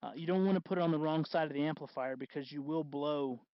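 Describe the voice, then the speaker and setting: Higher-voiced man explaining, dull dry recording. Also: no echo. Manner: halting, self-correcting. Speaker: host of a DIY costume electronics tutorial. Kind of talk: casual unscripted tutorial talk.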